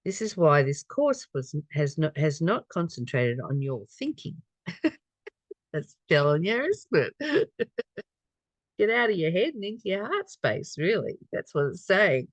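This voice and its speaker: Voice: mid-range voice, sing-song, takes it slowly, dry recording. A person reading a spiritual study text aloud and reflecting on it conversationally, repeating phrases and posing questions. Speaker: spiritual study reader and commentator.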